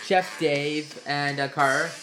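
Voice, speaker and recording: A man voicing a brisk, bossy frog puppet who hosts a homemade puppet show. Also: on the high side, fairly even intonation, a bit bright, faint music behind, rough recording. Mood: brisk, businesslike. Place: living room. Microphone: handheld phone close by.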